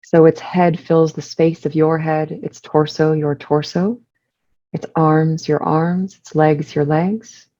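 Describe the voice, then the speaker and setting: A woman leading a guided meditation, giving soothing instructions in measured short phrases with pauses between them. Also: low-pitched, level tone, mellow, dull audio.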